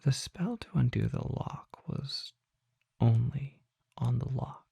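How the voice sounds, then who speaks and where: Very close and dry, a mysterious vampire apothecary, played by an ASMR performer, whispers in a husky, breathy voice with a crackle of fry. The learned figure speaks formally and deliberately, leaving measured pauses in a slow roleplay monologue. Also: medium pitch, warm tone, no echo, exaggerated voice. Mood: hushed, mysterious. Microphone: close microphone.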